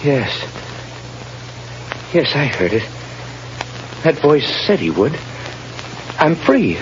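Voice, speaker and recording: An actor playing a dazed, awed prisoner in an old radio drama, speaking haltingly. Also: male voice, fairly high pitch, big pitch swings. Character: dazed male prisoner just reprieved from execution. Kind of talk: 1930s radio drama acting. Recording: dull, noisy vintage radio broadcast.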